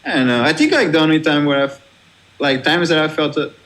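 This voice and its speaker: Halting Portuguese man chatting as a guest. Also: on the high side, big pitch swings, a bit bright, low-quality recording. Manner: halting, many restarts and fillers, laughs easily. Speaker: guest DJ and music producer. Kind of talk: casual interview chat.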